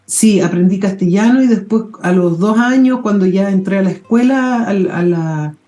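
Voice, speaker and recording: A woman in her forties, the guest on an interview show, talking in a relaxed, thoughtful way with pauses and restarts. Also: medium pitch, reverberant, faint music behind. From England. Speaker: guest mycologist. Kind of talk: casual interview talk.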